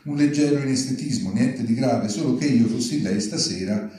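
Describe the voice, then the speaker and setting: A man giving a live reading of satirical open letters in a formal, deadpan manner. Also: mid-range voice, moderate pace. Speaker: reader at a public reading. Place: large indoor venue, live event. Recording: distant, very echoey hall.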